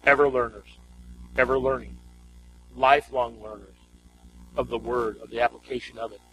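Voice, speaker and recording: A man's voice: medium pitch, fairly even intonation, normal speed, bright, forceful tone, slight background noise, low-quality recording. A man preaching a sermon as a pastor, emphatic and exhorting, repeating phrases and putting rhetorical questions to his congregation.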